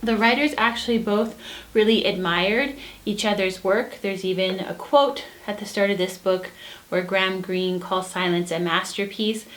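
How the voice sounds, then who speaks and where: A woman speaks in a medium-pitched voice as a co-host sharing book recommendations. Her delivery is casual and conversational, with an earnest, emphatic enthusiasm for what she describes. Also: fairly even intonation, normal speed.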